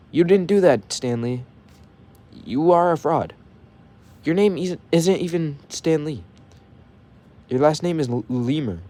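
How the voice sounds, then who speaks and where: A man's voice: mid-range voice, a little mellow. A young man ranting casually to his viewers, profane and heated, saying bro constantly and stuttering when he gets mad. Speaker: vlogger.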